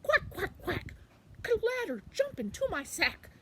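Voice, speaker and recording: A woman voicing a small, indignant male duck in a folktale, loud and quacking, punctuating speech with quacks. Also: very high voice, huge pitch swings, a little slow, a little hiss or hum, voice pushed up, exaggerated voice. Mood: loud, eager. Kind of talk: children's folktale storytelling.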